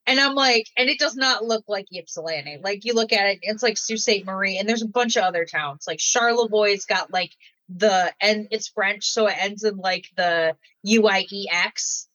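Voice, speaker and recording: A woman's voice: medium pitch, moderate pace, a bit bright, no room sound, dull audio. A woman novelist from Michigan, chatting casually in an interview and leaning on "like" a lot. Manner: chatty, many "like" fillers. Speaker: novelist guest.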